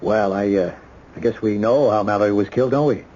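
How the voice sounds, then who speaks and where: An American actor in a radio mystery playing a measured, skeptical police lieutenant who talks in a hesitant, conversational way. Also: male voice, lowish voice, mellow, close to an everyday voice. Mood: guarded, puzzled. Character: measured, skeptical male police ballistics lieutenant, helpful underneath. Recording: dull, noisy old radio broadcast.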